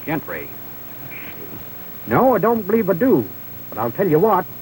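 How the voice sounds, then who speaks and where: Old Western trail hand, high lively rural drawl, rambling, on noisy vintage film sound. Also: male voice, measured pace, heavily acted. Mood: folksy, cautioning. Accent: rural American West. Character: folksy, talkative old frontier trail hand. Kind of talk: 1930s B-western film acting.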